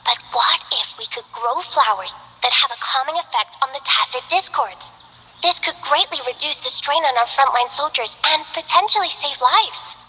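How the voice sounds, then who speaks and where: An actress voicing a gentle, shy young female botanist in a game, speaking softly with some hesitation. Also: high voice, big-room sound, low-quality recording. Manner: soft-spoken, hesitant. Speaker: game character. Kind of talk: game voice lines.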